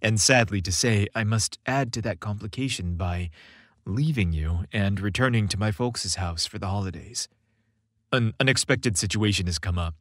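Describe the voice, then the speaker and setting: Man acting young boyfriend, low husky voice, affectionate, hesitant, reassuring, audio story. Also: moderate pace, a bit bright, no room sound, studio quality. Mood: hesitant, apologetic. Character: affectionate young man, evasive about his family.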